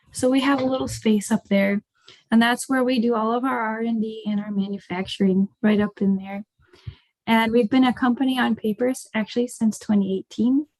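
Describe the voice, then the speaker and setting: A woman's voice: a little high, darkish tone, no room sound, rough recording. American woman presenting, close dry sound. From rural Ohio, United States. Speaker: startup co-founder giving a guest talk. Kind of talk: informal webinar presentation. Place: indoors, online video call. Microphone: video call.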